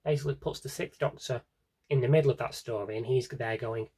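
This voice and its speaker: A man with a slightly husky voice rambles casually to viewers as a collector, thinking aloud and interrupting himself in a wry way. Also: mid-range voice, fairly quick, warm tone. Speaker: collector showing his audio drama CDs. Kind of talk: casual rambling vlog chat.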